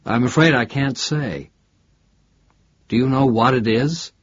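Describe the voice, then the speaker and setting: A man voicing a polite, persistent male investigator in an audiobook, asking brisk, probing questions. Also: mid-range voice, husky, highs cut off. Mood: curious, polite. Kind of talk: audiobook character dialogue.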